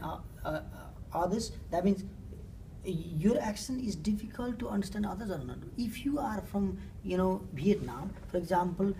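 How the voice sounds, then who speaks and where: A woman with a Nepali accent presenting survey results, speaking haltingly and often rephrasing her questions. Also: sits low, warm tone. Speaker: student presenter. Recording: distant mic with faint room noise.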